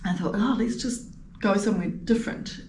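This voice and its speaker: A woman's voice: medium pitch, fairly quick. Swiss-born woman with a Kiwi accent. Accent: New Zealand. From Switzerland. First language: Swiss German. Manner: warm, laughs easily, thinks aloud with ums. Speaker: education manager, guest. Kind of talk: casual interview. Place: office. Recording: roomy office with faint hum.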